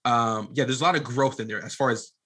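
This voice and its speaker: Bright-voiced man, halting and rambling. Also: medium pitch, breathy edge. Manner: halting, rambling, restarts phrases often. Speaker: podcast guest and media producer. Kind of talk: casual conversational interview talk.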